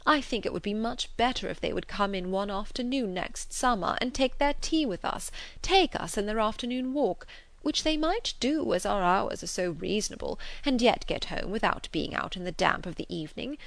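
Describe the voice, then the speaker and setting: A woman voicing a fretful elderly gentleman, an anxious invalid father who fusses and pleads gently, in an audiobook. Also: medium pitch, moderate pace, creaky, no echo, voice pushed up.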